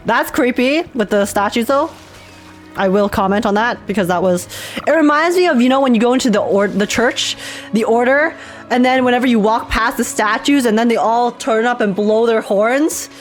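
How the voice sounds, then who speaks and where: A woman's voice: on the high side, normal speed. A woman giving casual, gushing and slangy live reaction commentary while playing a video game. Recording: game music behind the voice. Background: video game music.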